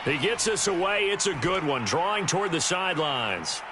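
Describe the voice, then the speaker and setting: A man's voice: high-pitched, very animated, moderate pace, noisy background. A man with an American accent acting as a play-by-play announcer in an American football video game. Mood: brisk, engaged. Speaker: play-by-play sports commentator.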